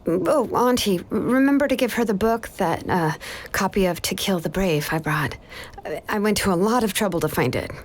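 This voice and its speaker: Woman playing a shrewd young woman, mid-pitched. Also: moderate pace, natural voice. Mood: casual, covering. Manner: probing, casual, reasoning aloud. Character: shrewd, caring, confident young woman investigating. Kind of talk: video game voice acting.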